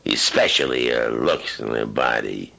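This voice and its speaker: An actor playing a coarse, mocking loan shark with streetwise tough-guy slang and a rough, husky voice, in an old-time radio drama. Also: rumbling low, unhurried, pushed and bright, heavily acted. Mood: sly coercion.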